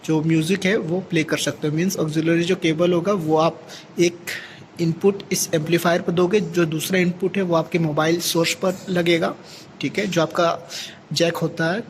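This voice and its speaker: Indian guy doing a hands on install tutorial, pretty high voice, noisy ambient recording. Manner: step-by-step explaining, often checking in with a tag word. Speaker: technician giving an installation tutorial. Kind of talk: hands-on equipment demonstration. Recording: clearly noisy amateur recording with ambient sound.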